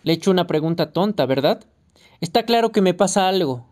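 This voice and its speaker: A reader voicing a fearful, hesitant police officer on the phone in an audiobook. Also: male voice, high voice, animated, brisk, a little mellow. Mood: nervous. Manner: hesitant, muttering.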